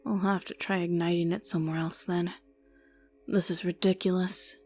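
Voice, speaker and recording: A woman's voice: lowish voice, flat delivery, normal speed, darkish tone, soft background music. Weary, exasperated woman doctor acted by a woman. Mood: tired, exasperated. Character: weary, wry female doctor working on memories. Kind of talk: amateur game fan dub.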